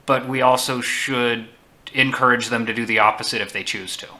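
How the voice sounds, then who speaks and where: A man hosting a casual chat, speaking in a measured, deliberate way. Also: medium pitch, steady tone, pushed and bright. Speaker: host.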